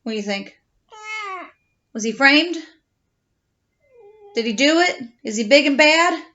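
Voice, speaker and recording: Woman, children's storytime reader, high animated voice, expressive questioning manner, slightly dull home recording. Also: measured pace. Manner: expressive, addresses young listeners with questions. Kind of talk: children's read-aloud with chat to listeners.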